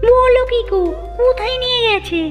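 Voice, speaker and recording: A woman's voice: very high-pitched, moderate pace, soft background music. A woman playing an anxious, fretful young village wife in a fairy-tale cartoon. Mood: distraught. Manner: fretful, questioning, melodramatic.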